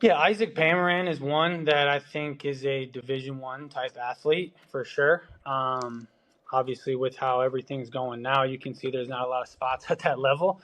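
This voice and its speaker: A man's voice: medium pitch, steady tone, normal speed, fairly bright tone. A man with a Wisconsin accent, a baseball scout talking through prospects in a casual podcast chat, loose and full of ums and restarts. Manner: loose, filler-heavy talk with frequent restarts.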